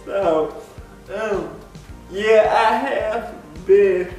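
A young man vlogging casually to camera from his living room, congested, halting and laughing often. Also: high-pitched, a little mellow, faint music behind. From United States. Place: living room with big windows. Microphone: camera across the room. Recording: echoey room, voice from far off.

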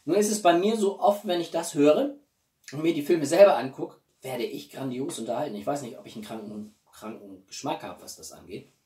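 A man in his forties chatting casually to viewers as a video host, with an expressive, emphatic delivery and dramatic pauses. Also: fairly high pitch. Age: 40s. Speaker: YouTube host. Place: small living room indoors. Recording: roomy echo, distant mic.

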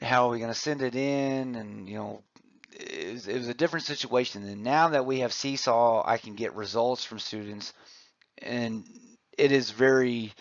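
A man's voice: fairly low pitch, no room sound. A man, a physical education teacher, giving an informal, conversational presentation with enthusiasm, recorded slightly muffled. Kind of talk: informal conference presentation over slides. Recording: slightly muffled, dull audio.

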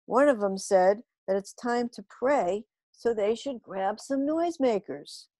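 A woman voicing an eager young boy, excited and a bit anxious. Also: on the high side, measured pace, no echo, studio quality.

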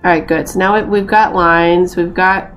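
A woman's voice: on the low side, steady tone, normal speed, fairly bright tone. A young woman with a Boston accent talks through a management game into a headset, muttering to herself and venting in a chatty, exasperated way. Faint game music plays underneath her voice. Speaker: streamer. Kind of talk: casual gameplay commentary. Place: small room indoors.

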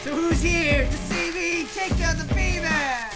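A woman's voice: very high-pitched, slow pace, over music, exaggerated voice. A woman voicing a boastful male arena brawler with brash, repetitive taunts in an improvised comic game dub.

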